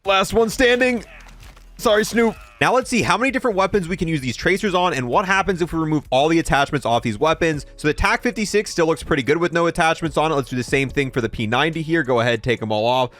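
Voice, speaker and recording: A man's voice: on the high side, quick pace, fairly bright tone. A man hosting a gaming video with casual, playful gameplay commentary in an informal way.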